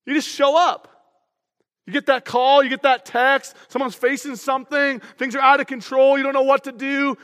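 A man with a high voice preaches a sermon in a loud, energetic and emphatic way. His voice rings out through a church auditorium with a long hall reverb. He speaks to the congregation in front of him. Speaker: pastor.

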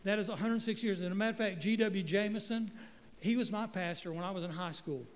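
Folksy preacher, high voice. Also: male voice, quick pace, big-room sound. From North Carolina. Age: middle-aged. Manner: folksy, joking, stumbling restarts. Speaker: guest pastor. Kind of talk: sermon. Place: church sanctuary. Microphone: handheld microphone.

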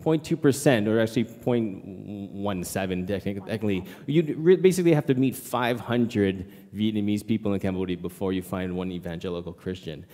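A man missionary giving a church presentation, lively and joking with the audience. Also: on the low side, fairly quick, few pauses, in a large hall. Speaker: missionary speaker.

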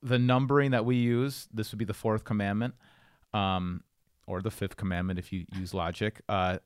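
A man's voice: lowish voice, steady tone, moderate pace, clear, resonant tone, dry recording, studio quality. A man co-hosting a podcast, talking casually and explaining things as he goes. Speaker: podcast co-host.

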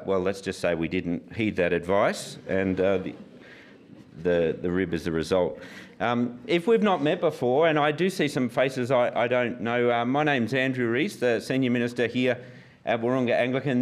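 Man, middle-aged, slightly croaky, senior minister, relaxed sermon with fillers. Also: mid-range voice. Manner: relaxed, self-deprecating, with fillers.

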